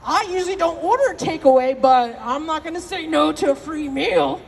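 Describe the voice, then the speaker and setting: A woman's voice: sits high, a little fast, gravelly, a little mellow, distant mic, slight background noise. Male storybook crocodile, played by a woman: sly, drawling, self-satisfied, easily fooled. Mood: hungry, smug.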